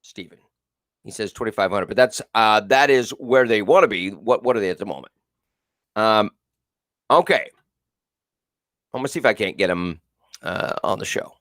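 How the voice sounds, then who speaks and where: A man's voice: on the low side, moderate pace, long pauses, fairly bright tone, no room sound, studio quality. A male talk show host with a big, carrying voice, giving emphatic, repetitive political commentary and reading viewers' comments aloud. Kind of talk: live political call-in commentary.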